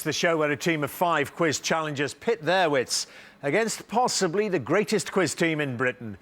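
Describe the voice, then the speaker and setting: A man's voice: fairly high pitch, a bit bright. A man hosting a television quiz show, upbeat and teasing, reading questions and introducing teams.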